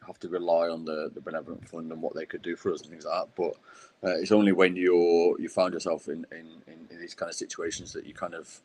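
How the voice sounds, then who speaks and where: A man answering podcast interview questions as a guest, speaking haltingly with many fillers and restarts. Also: low voice, little pitch movement, darkish tone, breathy edge. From United Kingdom. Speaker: guest, wheelchair rugby player.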